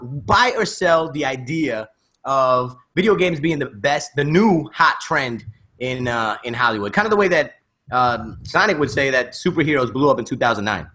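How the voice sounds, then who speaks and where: A man's voice: mid-range voice, lively intonation, normal speed, sharp tone. A man hosting a casual podcast in slangy, emphatic hype-man banter. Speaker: podcast host.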